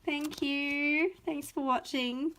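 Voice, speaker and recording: A woman's voice: high-pitched, fairly even intonation, slow pace, bright, forceful tone. A young adult Australian woman hosting a chatty live craft unboxing, gushing and enthusiastic, a little sniffly from a cold. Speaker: craft video host.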